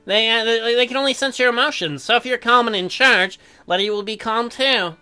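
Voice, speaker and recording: An awkward, earnest young farmer husband, read by a woman, halting and stammering through his lines. It is a visual novel character read with music behind it. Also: fairly high pitch, bright, forceful tone, clean tone. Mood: earnest, patient.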